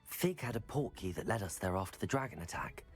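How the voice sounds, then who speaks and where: A brave british student witch in a game, really low voice, calm n polite, bit of music behind. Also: fairly quick. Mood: calm, sympathetic. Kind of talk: video game character dialogue.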